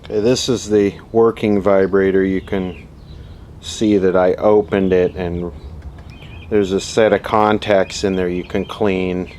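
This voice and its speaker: Male hobbyist repairer, low matter-of-fact voice, casual off-the-cuff demo, faint noise on amateur camera audio. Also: level tone, measured pace, darkish tone. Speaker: vintage radio repair hobbyist presenting. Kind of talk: casual unscripted workbench demonstration. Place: indoor workshop at a workbench.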